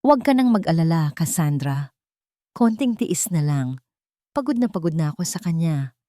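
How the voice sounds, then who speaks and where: A woman's voice: deep voice, sing-song, normal speed, warm tone, no room sound. A woman voicing a contemptuous, cheating adult husband in a storybook audiobook, with a dry, close sound. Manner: contemptuous, dismissive.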